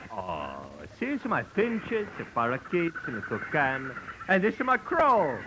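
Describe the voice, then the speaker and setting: An actor playing an excitable, doting Italian animal show owner with a theatrical manner and broken English, in 1940s radio style. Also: male voice, a little high, measured pace. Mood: fond, anxious. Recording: noisy old 1940s radio recording.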